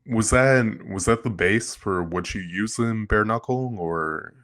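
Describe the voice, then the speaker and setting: A man's voice: lowish voice, measured pace, fairly bright tone. A man hosting a podcast, introducing his guest and asking short, friendly interview questions.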